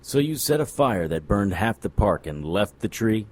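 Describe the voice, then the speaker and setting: Noir audio drama: jaded occult investigator, low-pitched, wry, hard-boiled and sardonic. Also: male voice, very animated, moderate pace. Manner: dry, wry, world-weary. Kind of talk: noir audio drama acting with first-person narration.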